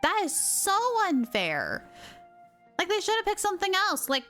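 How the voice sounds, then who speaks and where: A woman's voice: very high-pitched, wildly swooping pitch, moderate pace, pushed and bright, soft background music. A woman livestreamer giving playful, casual game reaction commentary, repeating phrases for emphasis.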